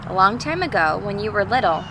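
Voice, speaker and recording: Lady doing a kids read-aloud, bit of gravel in her voice, talks simply to the little ones, noisy recording. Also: mid-range voice, brisk. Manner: expressive, simple explanations and questions for young children. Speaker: teacher reading a picture book aloud to children. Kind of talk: storybook read-aloud with chatty asides to children.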